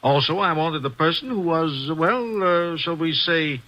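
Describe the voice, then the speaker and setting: An actor playing a nervous, secretive middle-aged bank president in a 1950s radio drama, halting and hesitant. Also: male voice, fairly high pitch, highs cut off, close to an everyday voice.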